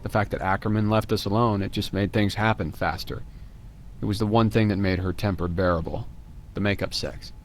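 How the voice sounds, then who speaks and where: A man's voice: fairly low pitch, fairly even intonation, a little fast, soft background music, natural voice. An actor playing a blunt, defensive male murder suspect in a video game, speaking in a curt, detached way. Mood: evasive, matter-of-fact.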